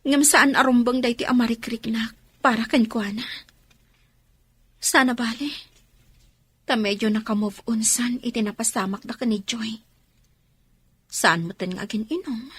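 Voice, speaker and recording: A woman acting an earnest, soft-hearted young student in a radio drama, with emotional delivery. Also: a little high, breathy edge. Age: young adult.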